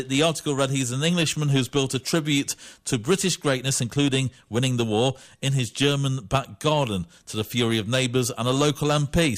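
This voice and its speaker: A man's voice: mid-range voice, a bit bright. Man, radio host, casual, friendly, interviewing, hesitant fillers. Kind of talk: radio talk show interviewing.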